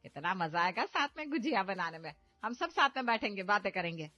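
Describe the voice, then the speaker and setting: A woman's voice: medium pitch, very animated, brisk, bright, forceful tone, highs cut off, soft background music. A woman voicing a cheerful middle-aged mother-in-law who loves festivals, fussy and chiding.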